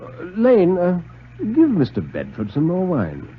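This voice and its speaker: A man voicing a genial, scheming old retired British police knight, theatrical and deliberate, in a radio drama. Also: high-pitched, huge pitch swings, slight background noise, voice pushed up, exaggerated voice. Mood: genial, sly. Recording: muffled 1950s radio recording.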